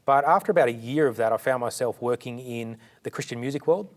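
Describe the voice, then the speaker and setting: A man's voice: mid-range voice, fast talker. A middle-aged man with an Australian accent, born in India and raised in Sydney, giving earnest, fluent interview answers with a few restarts. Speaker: charity director, guest.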